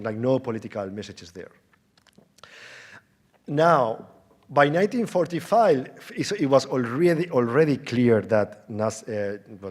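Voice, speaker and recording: A man presenting an academic conference lecture in a halting way, with false starts, repeated words and conversational rhetorical questions. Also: mid-range voice. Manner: explains through informal, conversational asides and rhetorical questions.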